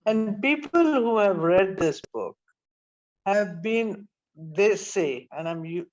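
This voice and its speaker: Woman in her 70s, reflective author being interviewed, mid-pitched voice, dull muffled recording. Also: animated, a little mellow. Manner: reflective storytelling, many pauses and restarts. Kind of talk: interview talk about her book.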